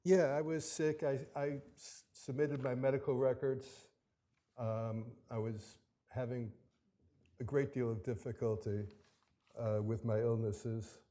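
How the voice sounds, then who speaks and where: A man arguing his case before an appellate court, speaking haltingly with many restarts and fillers. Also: medium pitch, steady tone, dark tone. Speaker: attorney arguing his own appeal. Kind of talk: courtroom oral argument.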